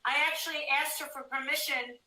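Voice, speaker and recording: Very high-pitched woman in echoey clip. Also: steady tone, a little fast. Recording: echoey, distant, noisy played-back clip.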